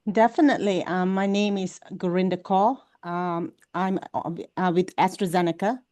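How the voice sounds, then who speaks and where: Woman panelist, fluent and steady. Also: lowish voice, moderate pace. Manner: fluent, with occasional fillers. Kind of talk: panel discussion introduction.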